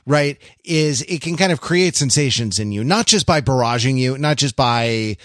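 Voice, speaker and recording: Man, podcast co-host, casual film discussion, analytical, halting, repeats words, mid-pitched, very dry sound. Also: animated, a bit bright, dry recording. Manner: halting, repeats words while working out ideas.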